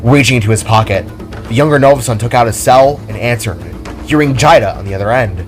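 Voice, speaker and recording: A man narrating an action story as a dramatic reading, with expressive delivery. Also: mid-range voice, quick pace. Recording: music under the reading.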